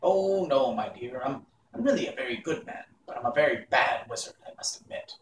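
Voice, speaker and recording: A man's voice: mid-range voice, expressive, brisk, distant mic, a little hiss or hum, lightly acted. Man playing a sheepish old humbug wizard, echoey. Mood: apologetic, reassuring.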